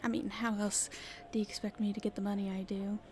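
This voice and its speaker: A woman playing a cheeky young street thief in an ASMR roleplay, chatting casually and haltingly to the listener. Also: mid-range voice, little pitch movement, darkish tone, close to an everyday voice. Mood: hesitant, evasive. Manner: casual, halting speech with hesitations.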